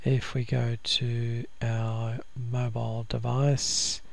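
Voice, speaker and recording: A man explains a software setup step by step from his desktop computer. His voice is gravelly with plenty of fry, and it stays flat and even. He talks casually and fills gaps with ums. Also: medium pitch, slow. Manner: casual, with frequent ums and restarts. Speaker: software trainer. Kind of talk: screen-recorded software tutorial.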